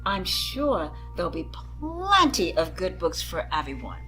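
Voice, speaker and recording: A kindly, firm schoolteacher from a storybook acted by a woman reading aloud. Her voice is bright and very melodic. She speaks in a measured, reassuring way to a pupil. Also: lowish voice, huge pitch swings, faint music behind, pitched below the performer's own voice, full character voice.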